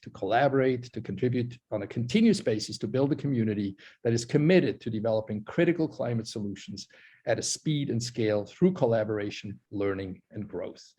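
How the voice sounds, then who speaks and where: A man's voice: mid-range voice, warm tone, breathy edge. A man from Switzerland hosting an online forum on a video call. He speaks earnestly, with many restarts and "you know"s. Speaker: forum host. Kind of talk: live online forum introduction.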